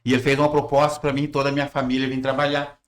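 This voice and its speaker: A man's voice: mid-range voice, level tone, racing. A man in his sixties from southern Brazil, a pastor telling his life story in plain, rambling rural speech. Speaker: pastor and musician guest. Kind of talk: casual interview answers.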